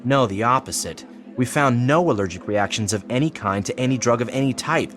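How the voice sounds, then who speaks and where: An actor playing an earnest, persuasive psychiatrist in an audio drama, explaining in a measured, solemn way. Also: male voice, medium pitch, animated, a bit bright, close to an everyday voice. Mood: measured, explanatory. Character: earnest, persuasive male psychiatrist pressing his case. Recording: clearly noisy background ambience.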